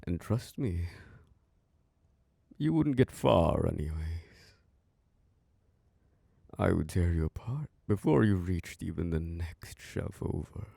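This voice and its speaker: A hungry, predatory vampire lord, as a man plays him for an ASMR roleplay, his voice very deep and raspy with a gravelly edge. He draws every word out at an extremely slow pace, sinking into close, dry whispers right at the microphone. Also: expressive, no echo, heavily acted. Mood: threatening. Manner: slow, hushed, drifting into whispers. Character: obsessive, predatory male vampire lord, charming turning dominant.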